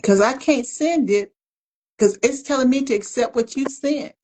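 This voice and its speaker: A woman's voice: sits high, moderate pace, a little mellow. A woman co-hosting a casual video-call chat, playfully teasing and restarting her words. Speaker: co-host.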